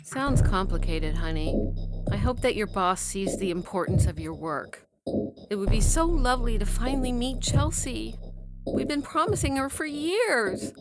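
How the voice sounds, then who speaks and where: A woman's voice: a little high, lively intonation, normal speed. An actress playing a condescending, insistent wife and controlling mother in an audio story. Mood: sweet, urging. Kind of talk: dramatic reading of audio fiction. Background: music.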